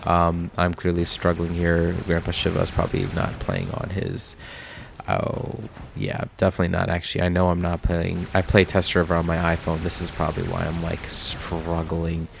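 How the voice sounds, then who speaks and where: A man's voice: low voice, completely flat delivery, moderate pace, vocal fry, slight background noise. A man with a stuffy, nasal voice from a cold, giving rambling casual commentary over a mobile game. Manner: rambling, filler-heavy. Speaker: mobile game video host.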